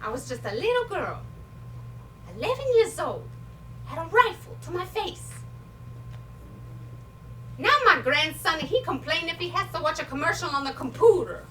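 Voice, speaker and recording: A woman playing a feisty, stubborn elderly Italian immigrant grandmother in a comic stage monologue, speaking blunt, halting broken English with an Italian accent. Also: extremely high pitch, very animated, fairly bright tone, full character voice. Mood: resolute, reflective. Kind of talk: live comedic stage monologue. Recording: distant mic, noisy live room.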